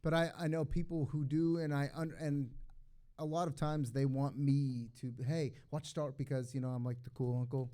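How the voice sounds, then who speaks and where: Easygoing man with a mellow voice. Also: mid-range voice, steady tone, moderate pace. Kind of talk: casual chat on stage.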